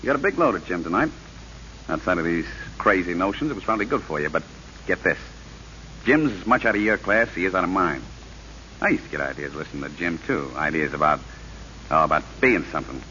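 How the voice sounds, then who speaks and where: A man's voice: sits low, animated, fast, sharp tone. An actor playing a tough, cocky gambler with slangy tough-guy talk in an old radio drama, on a muffled recording with hiss. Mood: brusque. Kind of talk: 1930s-40s radio drama acting.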